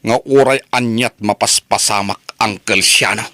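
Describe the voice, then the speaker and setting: A man's voice: lowish voice, fairly even intonation, moderate pace, pushed and bright, no room sound, clean studio sound. A man playing a defiant, hot-tempered nephew in a family radio drama, confrontational and menacing. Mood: threatening. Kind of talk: Ilocano radio drama acting.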